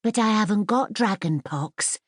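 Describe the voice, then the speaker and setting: A woman's voice: mid-range voice, expressive, normal speed, no echo, studio quality, pitched above the performer's own voice, natural voice. A woman voicing an earnest, sensible, inquisitive young girl in a children's audiobook. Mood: doubting. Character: curious, sensible young girl, questioning and wary.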